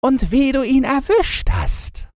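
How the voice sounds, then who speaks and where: A man's voice: very high voice, very animated, slow pace, no echo, clean studio sound, pitched above the performer's own voice, heavily acted. A man voicing a brash, cheeky young robber girl in a fairy-tale audiobook, blunt, teasing and bossy. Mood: blunt, gossipy. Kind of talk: storybook character voice in an audiobook.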